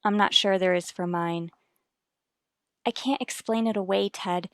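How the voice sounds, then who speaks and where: Worried mom in an old radio soap, careful formal talk, medium pitch, no room sound. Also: female voice, fairly even intonation, a little slow, a bit bright, dry recording, clean studio sound. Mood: apprehensive. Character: anxious, troubled mother and wife, polite and guarded. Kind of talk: mid-century radio soap acting.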